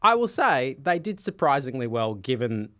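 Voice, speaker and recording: A man chatting on a podcast. He talks fast and staccato and tells stories with animation. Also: mid-range voice, very animated, fairly bright tone. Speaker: podcast guest, quiz show host. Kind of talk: casual chat.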